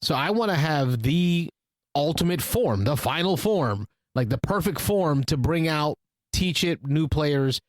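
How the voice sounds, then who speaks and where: A man's voice: fairly high pitch, lively intonation, measured pace, dry recording. Non-native English-speaking male co-host, playful needling delivery, dry close mic. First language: not English. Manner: playful, teasing, speaks in short bursts. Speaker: podcast co-host. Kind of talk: casual discussion.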